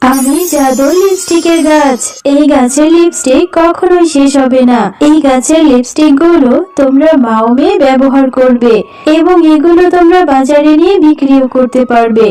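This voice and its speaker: Magic tree spirit acted by a woman, cartoon fairy tale, high pitch, solemn, righteous, declaratory, music behind. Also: moderate pace, mellow, close to an everyday voice. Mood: benevolent. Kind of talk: children's cartoon voice acting.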